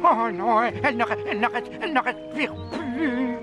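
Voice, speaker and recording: A man's voice: extremely high pitch, a little fast. A man voicing a fussy, learned toy-animal sidekick in a cartoon, flustered and repeating his words. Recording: music behind the voice.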